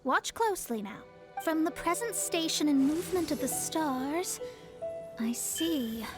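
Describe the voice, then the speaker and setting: Haughty young female astrologer, high voice, pompous formal diction, anime game acting, music underneath. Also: lively intonation, unhurried. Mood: lofty, lecturing. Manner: pompous, formal, elaborate wording. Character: haughty, proud young female astrologer, self-assured and lecturing. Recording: background music behind the voice.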